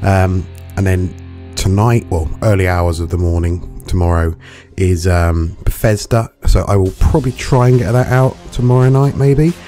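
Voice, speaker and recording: A hungover man with a gravelly voice and dry throat, rambling through casual, sweary commentary as a gaming reviewer. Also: low-pitched, moderate pace, hardly any pauses, dark tone. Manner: rambling, chatty, swears freely. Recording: music playing underneath.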